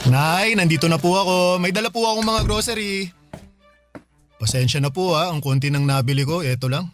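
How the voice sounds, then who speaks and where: Actor as dutiful Filipino son, slightly high voice. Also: male voice, fairly quick, music in the background, natural voice. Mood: tired, grateful. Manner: respectful, caring, casual. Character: hardworking, dutiful, affectionate 35-year-old son. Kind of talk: radio drama acting.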